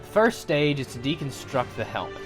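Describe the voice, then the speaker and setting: A man's voice: medium pitch, sing-song, normal speed, soft background music. A man hosting a hands-on craft tutorial, explaining each step in a casual, explanatory way. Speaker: host of a craft tutorial channel.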